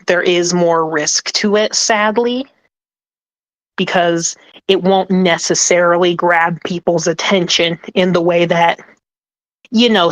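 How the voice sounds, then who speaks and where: A woman's voice: fairly low pitch, no room sound, poor audio. A woman on a group podcast talking about her work as an ASMR creator, earnest and rambling, with many fillers. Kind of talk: casual group discussion.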